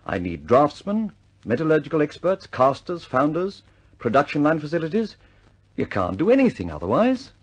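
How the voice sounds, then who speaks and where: Polite but stubborn british engineer, old radio drama, understated but very up and down intonation. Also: male voice, a little high, huge pitch swings, breathy edge, exaggerated voice. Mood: politely insistent. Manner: measured, understated, politely insistent. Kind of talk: vintage radio drama acting.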